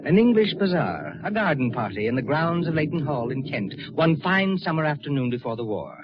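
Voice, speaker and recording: A man playing a suave, ominous mystery narrator in measured, formal 1940s radio suspense style. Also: a little high, sing-song. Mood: descriptive, measured. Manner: measured, formal, dramatic delivery. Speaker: narrator and program host. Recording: muffled vintage radio recording, music behind.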